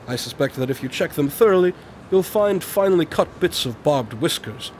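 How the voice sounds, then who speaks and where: A man's voice: on the high side, expressive, moderate pace, a little hiss or hum, close to an everyday voice. A man acting in a radio drama as a brilliant, aloof detective, speaking formally and precisely. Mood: confident, probing. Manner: precise, formal, matter-of-fact. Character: brilliant, aloof male detective explaining his deductions.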